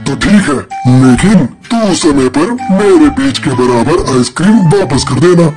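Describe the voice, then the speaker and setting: Man playing a brusque, high-voiced cartoon magician. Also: animated, unhurried, over music. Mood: teasing, commanding. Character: teasing, commanding male magician of a fable cave. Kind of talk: Hindi cartoon story voice acting.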